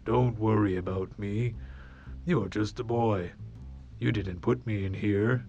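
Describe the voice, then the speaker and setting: A storyteller voicing a sad, weary lion in a small zoo enclosure, who speaks slowly and plainly for a children's story. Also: medium pitch, fairly even intonation, warm tone, dull audio, faint music behind. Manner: slow, plain, unhurried speech.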